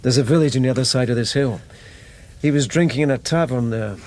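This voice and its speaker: An actor playing a loyal, level-headed police lieutenant in a radio drama, calm, careful and deferential. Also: male voice, mid-range voice, quick pace, slight background noise, lightly acted. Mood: matter-of-fact.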